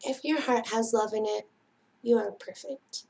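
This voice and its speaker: A woman with a high voice leads a children's story time. She takes her time, speaking very slowly in a sing-song manner. She addresses her young listeners directly, as a storyteller would. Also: gravelly, darkish tone. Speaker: children's library storyteller and host.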